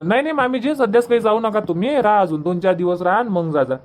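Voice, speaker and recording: Young village daughter-in-law for cartoon comedy, female performer: mid-pitched, hospitable, insistently coaxing, Varhadi Marathi accent. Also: fast, a little mellow, a little hiss or hum, lightly acted. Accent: Varhadi Marathi (Vidarbha). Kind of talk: homemade cartoon comedy dub.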